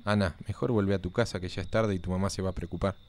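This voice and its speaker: A man acting a resigned, gentle young outcast in a live radio play. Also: deep voice, fairly even intonation, fast, soft background music. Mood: resigned, subdued.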